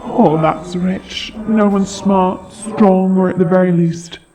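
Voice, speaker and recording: A man's voice: high voice, fairly even intonation, takes it slowly, background noise. A man voicing a haughty, sardonic dragon in an audio roleplay, with a taunting, theatrical delivery. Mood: mocking. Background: fantasy game-style music.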